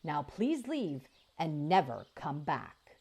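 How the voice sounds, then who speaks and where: Roz, a woman voicing a calm firm robot. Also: low-pitched, husky, exaggerated voice. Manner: measured, deliberate, formal speech.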